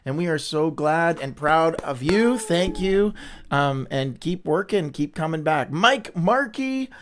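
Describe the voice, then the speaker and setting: A man's voice: on the high side, animated, slow pace. A man hosting a casual livestream Bible reading, chatty and upbeat, greeting viewers by name, with a runny, stuffy nose.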